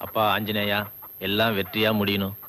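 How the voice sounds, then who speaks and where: A testy, devout doctor who treats animals speaks briskly in a bright voice. He keeps scolding his compounder and his visitors. It is a film comedy scene with faint noise behind. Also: fairly low pitch, monotone.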